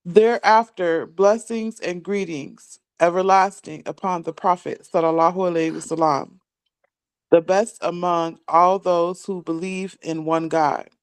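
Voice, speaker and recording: A woman's voice: on the low side, steady tone, measured pace, smooth, dry recording. A woman, a student in a class, reading a religious text aloud line by line.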